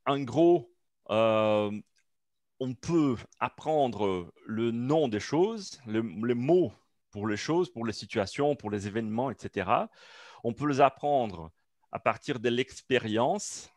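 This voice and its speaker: A man's voice: medium pitch, animated, slow, a little mellow, dry recording, clean studio sound. A man speaking French as a second language, giving a seminar talk over a video call, hesitant with many fillers and restarts. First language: not French. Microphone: computer mic on a video call.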